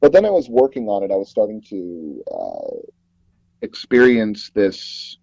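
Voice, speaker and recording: Male interview guest, mellow and relaxed tone, casual storyteller who acts out quoted exchanges, close dry but slightly dull recording. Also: fairly low pitch, measured pace, vocal fry, slightly rough, dry recording. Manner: animated retelling, acting out quoted dialogue. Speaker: interview guest, audio drama producer and director.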